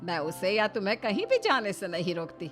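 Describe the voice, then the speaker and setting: A woman's voice: medium pitch, huge pitch swings, fairly quick, fairly bright tone, soft background music. A woman playing an older mother-in-law in a family story, caring but firm and admonishing. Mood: reassuring. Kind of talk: dramatised Hindi family story.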